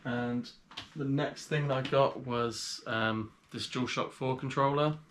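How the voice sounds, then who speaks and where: A man with a cold and a slightly nasal voice, chatting casually in a vlog as he shows items to the camera. He rambles in a relaxed way with frequent pauses. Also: medium pitch, a little hiss or hum. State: has a cold. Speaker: reseller vlogger.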